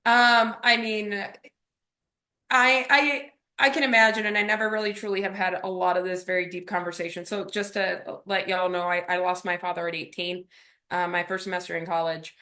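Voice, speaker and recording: A woman's voice: lowish voice, flat delivery, moderate pace, pushed and bright. A woman from Miami, a native Spanish speaker, telling her personal story as an interview guest in a reflective way, often checking in with short rhetorical questions. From Miami, USA.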